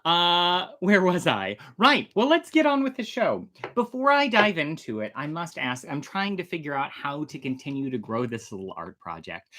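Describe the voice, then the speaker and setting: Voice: mid-range voice, a bit bright. A storytelling show host presenting casually to an online audience in a chatty, theatrical way. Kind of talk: casual livestream presenting to camera.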